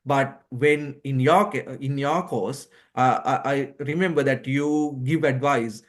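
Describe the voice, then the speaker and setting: A man's voice: mid-range voice, steady tone, slow. A man who is a non-native English speaker, answering interview questions as a guest doctor, rephrasing hesitantly as he explains. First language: not English. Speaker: guest, doctor who passed a surgical exam.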